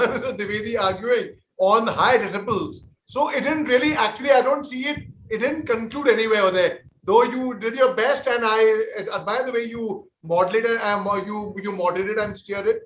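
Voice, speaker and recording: Indian male panelist, 40s, high-pitched, sincere and peacemaking, echoey room. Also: a bit bright, slight background noise. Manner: earnest, conciliatory, often repeats and restarts words. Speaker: army veteran panelist on a TV debate. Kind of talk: televised panel debate.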